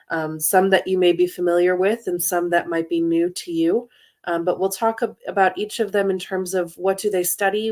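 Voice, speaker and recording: A woman presenting in a webinar about study programs in an informative, steady way, with frequent hesitation fillers, over a computer mic. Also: fairly low pitch. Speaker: university international student services director, presenter.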